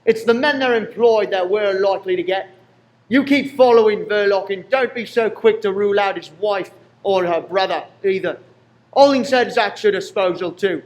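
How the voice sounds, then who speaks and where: A shrewd police inspector from a radio play, acted by a man. His voice is high for a man, with a suspicious, authoritative manner. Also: moderate pace, close to an everyday voice, poor audio. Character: Talbot, shrewd, suspicious Scotland Yard inspector. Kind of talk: amateur radio play acting.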